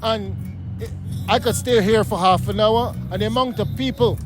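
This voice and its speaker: A man's voice: high-pitched, moderate pace, music in the background. A middle-aged Guyanese man being interviewed on a city street, talking emphatically and often asking "you know what I mean?". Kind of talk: street interview, casual talk.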